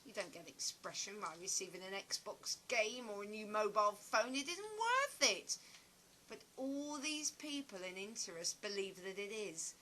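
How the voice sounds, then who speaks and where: A woman playing a bright, theatrical stage actress. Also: mid-range voice, moderate pace, a little hiss or hum, rough recording. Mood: nostalgic, earnest. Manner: dramatic, expressive storytelling. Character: theatrical stage actress, chatty, opinionated, nostalgic recluse. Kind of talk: audio play dialogue.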